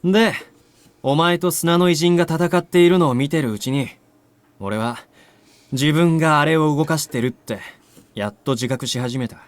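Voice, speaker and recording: A male actor voicing a cocky, nonchalant young man in a visual novel, with rough, offhand speech. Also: on the high side, fast, no echo, natural voice. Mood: nonchalant explaining. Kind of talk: Japanese visual novel voice acting.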